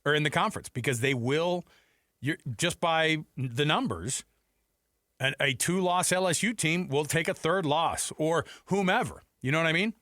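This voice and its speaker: A man's voice: fairly high pitch, moderate pace, sharp tone, no echo. An American man hosting sports radio, talking casually and conversationally on a close studio mic, with rhetorical questions and asides. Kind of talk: casual sports talk commentary. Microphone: close studio headset mic.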